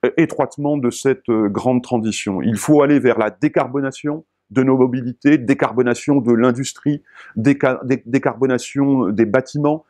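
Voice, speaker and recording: French male spokesperson, mid-range voice, informational delivery with halting, searching phrasing. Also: mid-range voice, darkish tone. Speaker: expert spokesperson for local government association. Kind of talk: explanatory talk in an informational video.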